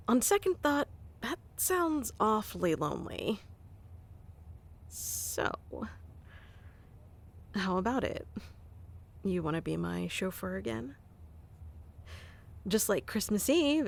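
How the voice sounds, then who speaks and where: Slow, teasing woman with gravelly fry. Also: mid-range voice. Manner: teasing, commanding yet doting, soft-spoken. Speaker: ASMR roleplay performer. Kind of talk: ASMR roleplay, playing a bossy affectionate boss.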